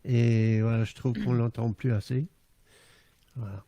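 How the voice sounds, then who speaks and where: A man's voice: mid-range voice, steady tone, unhurried, dark tone, no echo, lo-fi sound. A man chatting casually as he hosts an online live music stream. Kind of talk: casual chat.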